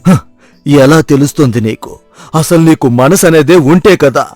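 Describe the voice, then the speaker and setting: A man's voice: fairly high pitch, unhurried, darkish tone, dry recording, faint music behind, close to an everyday voice. A man voicing a grave, wounded old father who admonishes in a dramatized moral story. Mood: wounded, bitter.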